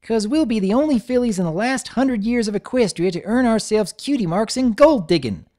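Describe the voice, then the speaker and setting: A woman's voice: on the high side, animated, brisk, warm tone, pitched above the performer's own voice, lightly acted. A woman voicing a cheerful country farm girl pony with a folksy drawl.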